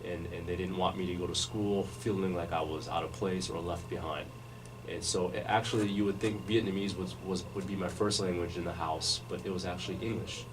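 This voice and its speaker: A low-pitched man speaks in an even, unhurried way, circling back and restarting his sentences as he recounts his past. He sits in a radio studio, but his voice arrives from some distance off the mic, with a steady hiss of noise behind it. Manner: measured, many restarts and fillers. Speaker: interview guest. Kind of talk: relaxed life-story interview. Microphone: studio microphone, recorded from afar.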